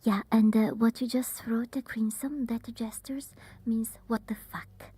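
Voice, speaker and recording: A woman with an Italian accent, softly whispering while chatting with viewers on an ASMR live stream. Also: fairly high pitch, steady tone, a little slow, breathy edge, no room sound. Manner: soft whispering.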